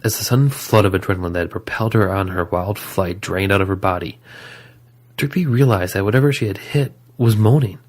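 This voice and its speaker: A man's voice: low-pitched, brisk. A man reading scripted story narration as the narrator of an audio drama.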